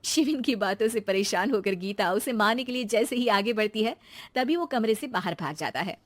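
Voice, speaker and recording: A woman's voice: mid-range voice, animated, moderate pace, dry recording. A woman narrating a scripted cartoon story as its storyteller.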